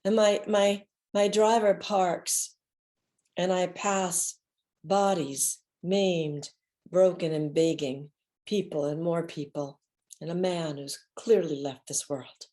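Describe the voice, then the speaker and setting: A woman's voice: fairly low pitch, fairly even intonation, slow pace, dark tone. A woman giving a dramatic reading from a one-woman show, telling her story theatrically and leaning on repeated words for emphasis. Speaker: solo stage performer and storyteller.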